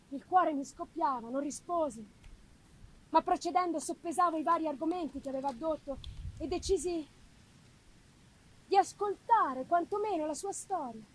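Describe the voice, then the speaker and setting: A woman's voice: high voice, a little hiss or hum, low-quality recording. A woman reading a gothic novel aloud as a dramatic literary narrator. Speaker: reader, narrator.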